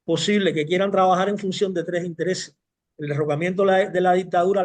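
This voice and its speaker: A man explaining a political position formally and deliberately as a coalition representative on a panel. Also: high voice, a little mellow.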